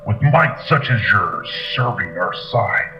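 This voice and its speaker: Man playing a slow, low-voiced, scornful alien warlord. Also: lively intonation. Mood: angry, demanding. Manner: theatrical, scornful military declaiming. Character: belligerent, contemptuous male alien warlord, impatient for conquest. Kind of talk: dramatized comic-book audio drama. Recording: dull lo-fi echoey audio, loud music.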